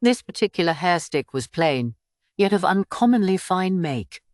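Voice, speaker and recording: Expressive low-pitched woman narrating fiction. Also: moderate pace, no room sound, clean studio sound. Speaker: audiobook narrator.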